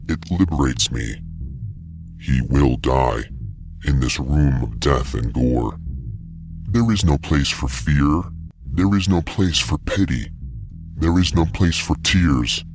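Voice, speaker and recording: A man playing a grim, brooding warrior who solemnly recites dark verse in an audio drama. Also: very deep voice, expressive, soft background music, full character voice. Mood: grim, ominous. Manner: slow, solemn, ominous delivery.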